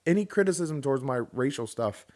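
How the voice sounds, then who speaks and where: A man's voice: mid-range voice, a little fast. A man in his late thirties chatting on a podcast, his voice sitting in an ordinary middle range. He tells a long, rambling story and jumps into the voices of the people in it. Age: 30s. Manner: rambling, animated, acts out quoted people. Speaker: podcast co-host. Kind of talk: casual chat, storytelling.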